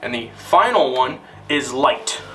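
A man narrating a scripted instructional film in a deliberate, formal, step-by-step manner. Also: mid-range voice, expressive, pushed and bright, distant mic, slight background noise.

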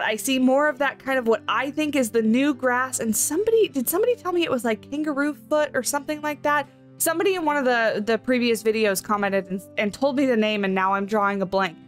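A young woman speaks in a fairly high voice, slightly off from a lingering cold. She chats with bubbly excitement and reads animal facts in a voice-over. Faint guitar music plays underneath. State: recovering from a cold, voice a bit off. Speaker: game channel host. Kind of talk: casual screenshot commentary.

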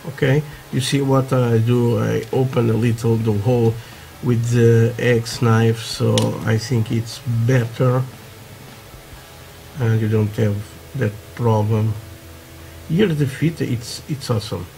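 A man from Portugal with a Portuguese accent, chatting haltingly through a hobby tutorial with many fillers, while building at a workbench. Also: medium pitch, fairly even intonation, vocal fry, mellow, a little hiss or hum, poor audio. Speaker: scale model builder. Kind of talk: casual hobby tutorial talk. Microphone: camera over work desk, hands only.

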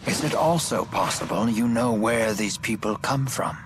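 A man voicing a courtly, wise elderly gentleman in a video game, formal, measured and precise. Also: on the low side, lively intonation, background noise, close to an everyday voice. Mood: grave, thoughtful. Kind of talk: English video game voice acting. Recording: game music behind the voice.

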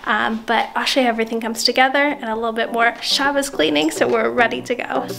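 A woman's voice: on the high side, steady tone, fast, creaky. A woman in her thirties chatting casually and informally in a home vlog, with a self-deprecating, conversational manner. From South African family background. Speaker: vlogger. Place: inside a house, open dining room and kitchen.

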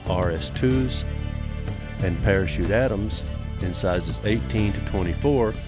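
A man's voice: on the low side, unhurried, music in the background. A man reading scripted instructional narration.

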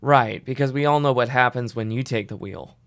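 Sarcastic male guardian angel played by a man, admonishing, average pitch, cartoon dark comedy. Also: brisk, no echo, lightly acted. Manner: admonishing, sardonic. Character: stern, sarcastic male conscience angel, reining in. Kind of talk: animated dark comedy dialogue.

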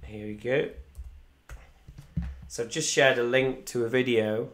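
A man's voice: mid-range voice, fairly even intonation, unhurried, a bit bright. A young man, casually chatting to viewers on a live stream from home, reading out comments. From Wales. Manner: chatty, rambling, reads viewer comments aloud. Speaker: musician hosting a live stream. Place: small room indoors at home. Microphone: desk microphone in front.

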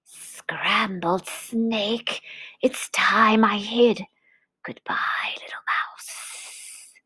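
A silly, timid old male snake put on by a woman reader from a children's storybook. The voice is a slow, breathy whisper, hushed and sly. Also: mid-range voice, dry recording, full character voice. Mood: fearful.

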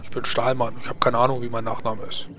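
A man playing a simple, earnest adventurer in a tabletop roleplay, halting and often repeating himself. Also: mid-range voice, flat delivery, a crowd in the background, lightly acted. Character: naive, eager, simple-minded male adventurer.

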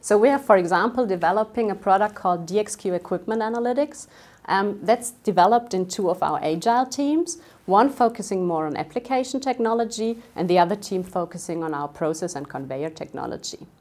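A woman's voice: medium pitch, brisk, darkish tone. A woman in her thirties explaining a product in a friendly way with some hesitation fillers, recorded on a lapel mic in an office. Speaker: department head. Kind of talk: interview answers. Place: modern office.

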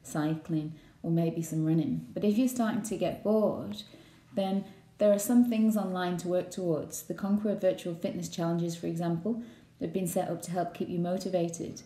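A woman's voice: on the low side, fairly even intonation, moderate pace, mellow. A woman reading a scripted voice-over for a presentation. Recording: muffled, echoey indoor voice-over.

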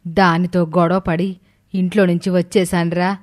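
A woman's voice: on the low side, flat delivery, normal speed, natural voice. Woman voicing a proud older mother-in-law. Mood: aggrieved.

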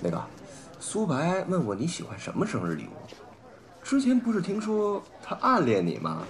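Man playing cheeky high-pitched male roommate. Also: wildly swooping pitch, normal speed. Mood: teasing. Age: young adult. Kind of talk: radio drama acting.

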